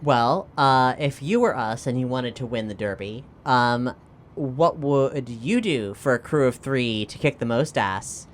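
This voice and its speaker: A man's voice: medium pitch, measured pace. Man chatting tentatively over faint noise. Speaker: tabletop game player. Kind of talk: casual in-game chat.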